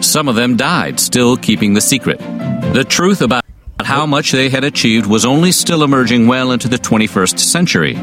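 A man of average pitch narrates a history video from a script. His wording is casual and wry, and faint music plays underneath. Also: animated, normal speed.

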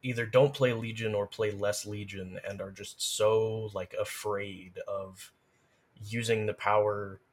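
A man's voice: lowish voice, level tone, measured pace. A man chatting casually as a guest, explaining video game play in detailed, analytical fashion. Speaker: podcast guest, gamer.

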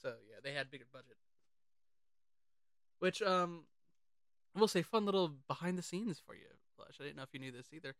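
A man with a bright, fairly high voice talks casually as a podcast co-host. He is chatty and halting, circling back over his phrases as he shares film trivia. The sound is crisp and close, with very little room. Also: lively intonation, dry recording.

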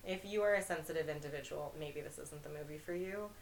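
A young woman co-hosting a podcast in casual, excitable, giggly film chat. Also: low-pitched, fast, creaky, a little hiss or hum. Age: young adult. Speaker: podcast co-host. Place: bedroom, indoors.

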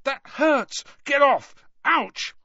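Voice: medium pitch, wildly swooping pitch, slow, husky, no room sound, highs cut off, pitched above the performer's own voice, heavily acted. A narrator voicing an anxious, easily flustered teenage wizard boy with an urgent, questioning manner in an audiobook. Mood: pained, protesting.

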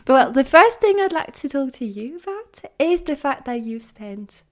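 A woman hosting a podcast, upbeat and quick to laugh while she introduces and interviews her guest. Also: high voice, big pitch swings. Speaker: podcast host.

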